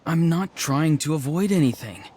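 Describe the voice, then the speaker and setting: An actor playing a polite, earnest and somewhat secretive youngster in a video game, hesitant and apologetic in manner. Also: male voice, on the high side, animated, normal speed, heavy background noise, natural voice. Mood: uneasy. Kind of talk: English game voice acting.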